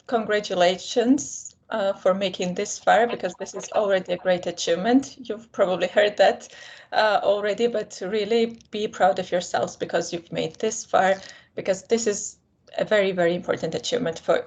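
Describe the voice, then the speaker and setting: A woman's voice: medium pitch, normal speed, a little mellow. A young woman from Georgia, a graduate student, giving friendly, encouraging advice on an online call. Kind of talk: casual online talk.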